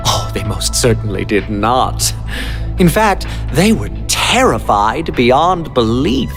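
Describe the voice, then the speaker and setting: A devious, sadistic game villain who swoops wildly in pitch and stretches words out slowly. He gloats in flowery, mock-polite phrases with gleeful menace. Dramatic music plays clearly behind him. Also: big pitch swings. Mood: gloating, smug. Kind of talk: anime-style video game villain acting.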